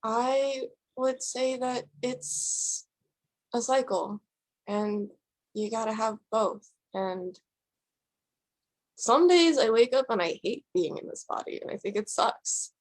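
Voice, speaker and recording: A woman performer giving a candid, reflective answer in short, deliberate phrases. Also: on the high side. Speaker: solo performer being interviewed.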